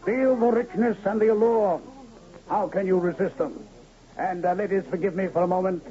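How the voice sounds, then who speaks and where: A man playing a courtly, cryptic medicine man and illusionist in a radio drama, with florid, measured, theatrical speech. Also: sits high, fairly even intonation, warm tone, natural voice. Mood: showy sales pitch. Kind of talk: 1970s radio drama acting. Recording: dull, lo-fi old radio recording.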